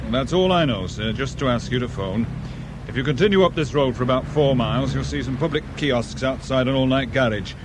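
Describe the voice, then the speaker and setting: A man's voice: medium pitch, a little fast, lightly acted. An actor playing a polite but firm British patrol policeman in a radio drama, official and understated. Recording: noisy outdoor roadside with car sounds.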